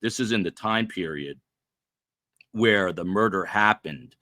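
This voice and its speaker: A man's voice: on the low side, unhurried, bright, forceful tone, no echo. A man in his fifties hosting a podcast in casual talk, rambling with restarts and asking pointed questions, sounding tired. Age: 50s. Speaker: podcast host. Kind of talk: casual live-stream talk.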